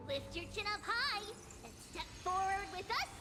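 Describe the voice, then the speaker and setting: A small childlike hero from a video game, extremely high and swinging widely in pitch. The figure is bright and encouraging, with music behind. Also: female voice, sing-song, moderate pace. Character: small, bright, childlike heroic companion cheering others on. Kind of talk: dramatic video game voice acting. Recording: music under the voice.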